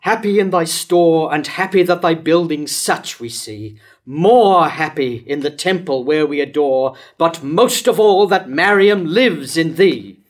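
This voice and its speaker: A man's voice: fairly high pitch, expressive, unhurried. A man in his sixties reading the part of a lovestruck king in a staged verse tragedy. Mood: exultant, longing. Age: 60s. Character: lovestruck king hailing his city and queen. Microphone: lectern microphone.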